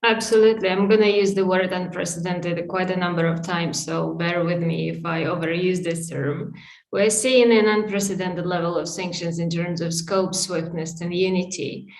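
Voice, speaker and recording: A woman's voice: fairly low pitch, steady tone, normal speed, echoey room. A woman speaking as a sanctions expert in an interview, giving a fluent, emphatic analysis point by point over a video call.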